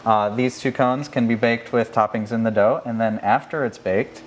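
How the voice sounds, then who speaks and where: A man working at a bakery, explaining the menu in a steady way with many ums and uhs. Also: medium pitch, moderate pace, a little hiss or hum. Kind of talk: casual explanation.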